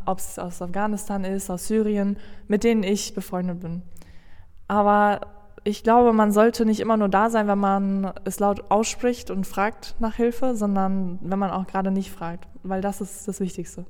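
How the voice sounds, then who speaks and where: A calm, earnest woman from Colombia answers interview questions in a middle-pitched voice. Her words ring with a long reverb, as if she were in a large hall. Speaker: interviewee, lawyer.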